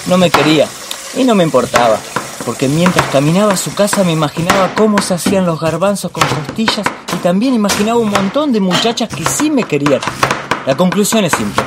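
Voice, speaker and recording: An actor playing a pompous, bullying master chef and dreamy film snob in a radio play, theatrical. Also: male voice, high voice, animated, brisk, very noisy. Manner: pompous, digressive, theatrical monologuing.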